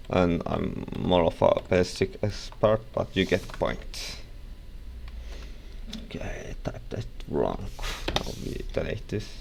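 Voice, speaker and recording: A young man with a Turkish accent, casually walking through a software tutorial with rambling asides and self-corrections. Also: low-pitched, gravelly, slight background noise. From Turkey.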